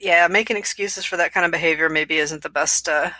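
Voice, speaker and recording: A woman with a lowish, bright and forward voice talks as a podcast co-host. She chats casually about books, explaining things in a reflective manner, in a very dry recording. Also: fairly even intonation, a little fast, no echo. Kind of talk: casual book discussion chat.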